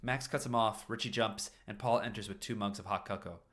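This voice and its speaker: A man's voice: fairly low pitch, fairly even intonation, a little fast, fairly bright tone. A man narrating a story aloud in an informal live reading, breaking off for apologetic asides. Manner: self-interrupting, apologetic asides. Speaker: streamer reading fan fiction aloud.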